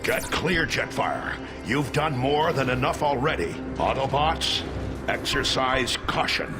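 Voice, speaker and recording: An actor playing a noble, resolute robot commander in a video game, speaking with grave, formal authority. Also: male voice, medium pitch, animated, a little slow, music in the background, natural voice. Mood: grave, commanding. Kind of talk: video game cutscene acting.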